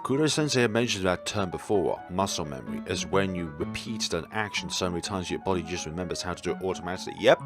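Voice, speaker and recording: A man's voice: sits low, expressive, fast talker, few pauses, over music. A man narrating a visual novel as a gaming host, in a casual let's-play style with asides of his own.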